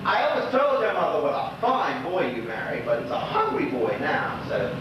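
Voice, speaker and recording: A man's voice: on the high side, huge pitch swings, normal speed. Man acting a chatty old Bermudian widower, very echoey. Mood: friendly, jovial. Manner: rambling, folksy, conversational. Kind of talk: stage monologue, colloquial storytelling to an unseen neighbour. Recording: roomy, noisy, muffled distant stage recording.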